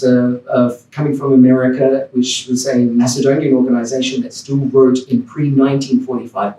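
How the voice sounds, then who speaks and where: A man's voice: medium pitch, warm tone, roomy sound, far from the mic, a little hiss or hum, low-quality recording. A man from Australia giving a personal, rambling talk on a panel, with restarts and chuckles. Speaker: panel speaker. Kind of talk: academic talk. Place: lecture room.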